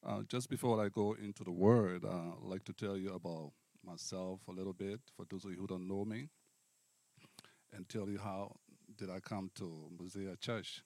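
Low-voiced Liberian man preaching. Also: steady tone, moderate pace, warm tone, no room sound. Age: middle-aged. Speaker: guest preacher. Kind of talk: personal testimony and sermon to a congregation.

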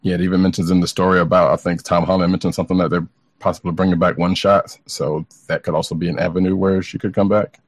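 A man's voice: sits low, little pitch movement, fast talker, dry recording. A man co-hosting a podcast, chatting casually about entertainment news in a tentative, hedging way. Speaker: podcast co-host. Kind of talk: casual comic book news chat.

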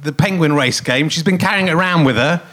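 A man's voice: fairly high pitch, fast talker, fairly bright tone. A middle-aged man with a snotty cold hosting a comic live podcast on a theatre stage, rambling and self-mocking with lots of fillers. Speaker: podcast host.

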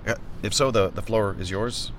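An actor in a satirical audio drama playing a wry, awkward, wisecracking man who runs an office, speaking in a halting, flippant way. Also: on the low side, a bit bright, slight background noise, natural voice. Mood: awkward, hesitant.

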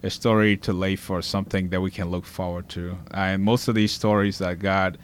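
Low-voiced guy from liberia, 40s, telling his life story earnestly in a studio. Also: flat delivery, moderate pace, smooth. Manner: earnest storytelling with a faith focus. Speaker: guest.

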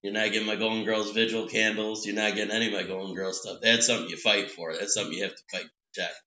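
A man's voice: fairly low pitch, level tone, very fast, sharp tone. A man co-hosting a podcast from his home patio room, rambling and joking in casual chat with frequent restarts. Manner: rambling, self-interrupting, playful. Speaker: podcast co-host. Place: three-season back patio room at home. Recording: echoey room, dull lo-fi sound.

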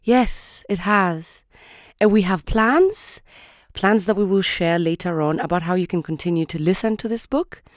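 A woman's voice: fairly low pitch, measured pace, dry recording, clean studio sound. A woman co-hosting a podcast and giving a scripted announcement. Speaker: podcast co-host.

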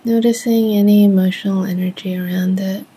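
A soft-spoken woman leading a guided meditation in gentle, measured phrases with long pauses. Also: medium pitch, steady tone, breathy edge.

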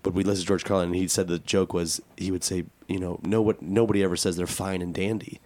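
An American man co-hosting a talk podcast in casual chat, joking and self-deprecating, with a raspy voice from a cold. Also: deep voice, flat delivery, quick pace, darkish tone, no room sound. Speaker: podcast and radio show co-host.

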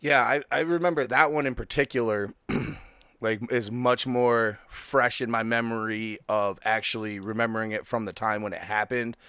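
A man's voice: medium pitch, fairly even intonation, moderate pace, sharp tone, clear, resonant tone, no echo. Laid-back swearing man in his thirties. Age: 30s. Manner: laid-back, swears freely, laughs often. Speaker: podcast host. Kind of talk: casual chat.

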